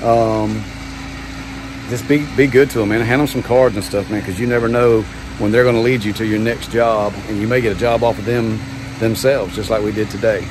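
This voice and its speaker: A man's voice: fairly low pitch, fairly even intonation, moderate pace. A man, talking casually to a phone camera about his pressure washing business, chatty and laid-back with lots of "um" and "man". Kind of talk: casual vlog talk to camera. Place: outdoors under a covered patio at a large house. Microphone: phone held at arm's length. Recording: outdoor phone audio with engine noise. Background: pump engine and vehicle noise.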